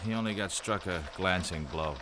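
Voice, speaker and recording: A man's low but bright, forward voice a laid-back street cop in a radio drama. He is casual and matter-of-fact, with faint noise behind him. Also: moderate pace. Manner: casual, colloquial.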